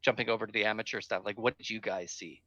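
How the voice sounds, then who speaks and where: Male co-host, reads notes then riffs; forceful bright tone, lo-fi dry sound. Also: on the low side, steady tone, fast talker, no room sound. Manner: chatty, reads bullet notes aloud then comments. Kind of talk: casual podcast chat, reading news notes.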